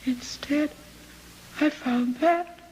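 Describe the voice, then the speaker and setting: An actress playing a distraught servant woman in a radio drama, halting and pleading with melodramatic delivery. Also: high-pitched, a little mellow, big-room sound, rough recording. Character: distraught servant woman protesting her innocence. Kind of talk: old-time radio drama acting.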